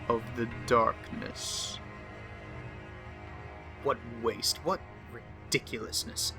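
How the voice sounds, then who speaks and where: A man plays a pompous, unhinged male diarist of the occult, full of scorn for humanity. He speaks very slowly and theatrically at a medium pitch, lingering on words as horror narration. Prominent music runs behind the voice. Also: close to an everyday voice. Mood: scornful, grandiose. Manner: theatrical, slow and deliberate, lingering on words, dropping to whispers. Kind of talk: dramatic horror story narration.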